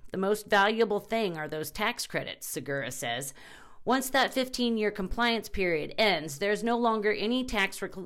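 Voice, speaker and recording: A woman reading a news article aloud as scripted narration, in a measured, reporting manner. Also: medium pitch.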